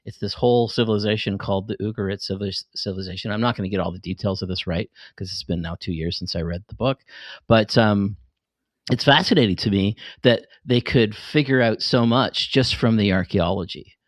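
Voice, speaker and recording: A man's voice: lowish voice, fairly quick, no room sound. A man, middle-aged, talking casually on a podcast and explaining things in an enthusiastic, rambling way. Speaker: podcast host and fiction writer.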